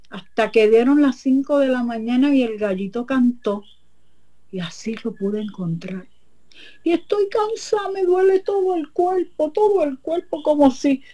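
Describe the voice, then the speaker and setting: A sneaky witch neighbor, put on by a woman storyteller, whines in a thin, high voice with a Puerto Rican accent. Her tone stays mellow and soft-edged. She sounds put-upon and crafty.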